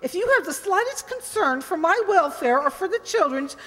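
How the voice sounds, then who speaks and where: A woman's voice: very high-pitched, animated, moderate pace, fairly bright tone, in a large hall. A woman playing an anxious, nagging wife and mother in a radio drama, firm and earnest. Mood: worried, demanding. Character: anxious, insistent wife and mother named Clara.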